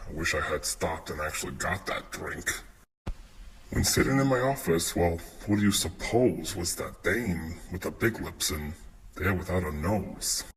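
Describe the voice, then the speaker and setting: A man's voice: sits low, expressive, moderate pace, a bit bright. A man playing a hardboiled noir detective, telling his case in wry, dramatic rhyme. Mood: brooding. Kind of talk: rhyming film-noir parody narration. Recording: noisy homemade phone clips.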